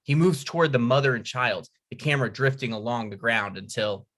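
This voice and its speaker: Bright-voiced man reading stage directions. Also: mid-range voice, brisk. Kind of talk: scripted narration.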